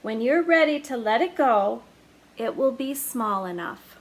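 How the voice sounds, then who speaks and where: A woman voicing a kind, patient father who talks in a gentle, reassuring way. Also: on the high side, animated, measured pace.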